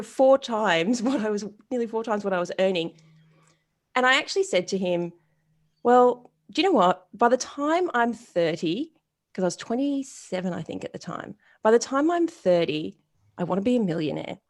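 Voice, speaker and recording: A woman in her forties giving an interview as a retail strategist, telling stories bluntly and casually and acting out the people she quotes. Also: mid-range voice. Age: 40s. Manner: lively, animated storytelling with quoted dialogue. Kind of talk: storytelling interview answer.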